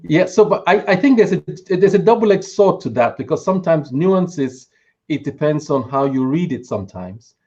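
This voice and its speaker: A man recounting experience on a discussion panel in careful, measured, halting phrases. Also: fairly high pitch, lively intonation, a little mellow, lo-fi sound. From Nigeria. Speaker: church leader on a panel.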